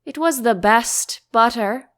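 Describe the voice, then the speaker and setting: A woman's voice: fairly high pitch, slow, fairly bright tone. A woman voicing a contrary, pedantic hare in a storybook, with a needling manner. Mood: meek. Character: rude, contrary male storybook hare at tea party.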